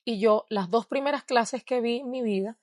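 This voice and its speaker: A woman's voice: on the high side, normal speed, darkish tone, no room sound, studio quality. A Venezuelan woman with a Venezuelan Spanish accent, answering interview questions in a reflective way and laughing often. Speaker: guest, dancer and researcher. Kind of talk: interview conversation.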